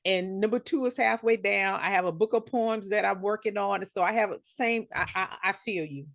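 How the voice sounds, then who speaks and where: A woman hosts a storytelling podcast in a relaxed interview. She chats in an animated way and stretches words out for emphasis. Her voice sits at a middle pitch. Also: moderate pace, gravelly. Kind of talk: casual interview conversation.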